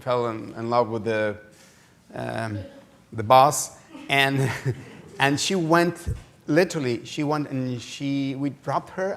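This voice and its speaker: Halting man telling an anecdote. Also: mid-range voice. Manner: halting, repeats words. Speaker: panelist. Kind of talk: conversational anecdote.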